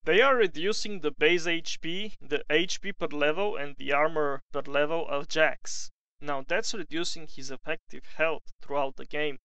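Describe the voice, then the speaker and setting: A man's voice: fairly high pitch, fairly bright tone, smooth, no room sound, low-quality recording. A man with a Bulgarian accent giving casual, opinionated voice-over commentary on a game update, now and then swearing.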